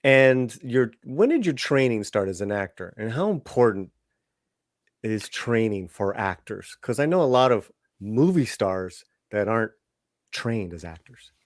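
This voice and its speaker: A man hosts a relaxed interview and asks long, winding questions full of fillers and restarts. The recording is dry and close, with no room echo. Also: lowish voice, measured pace. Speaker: interview host. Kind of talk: casual interview.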